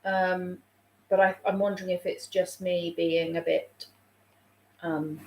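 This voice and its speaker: A woman's voice: on the low side, flat delivery, takes it slowly, a little mellow, a little hiss or hum. A woman, a childminder chatting casually on a live stream and reading out viewer comments, a little snuffly with a sore throat coming on. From England. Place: room at home. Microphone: phone. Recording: roomy home room echo.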